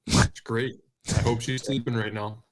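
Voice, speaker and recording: A man's voice: medium pitch, fairly even intonation, a little slow, noisy background. A man co-hosting a podcast, chatting casually. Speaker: podcast co-host. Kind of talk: casual chat.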